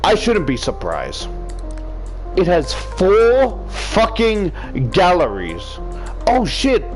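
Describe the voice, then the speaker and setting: A man's voice: high voice, wildly swooping pitch, slow, faint music behind. A man giving a casual, rambling game review in a profane, exasperated, ranting manner with emphatic repetition. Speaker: video game reviewer, YouTuber.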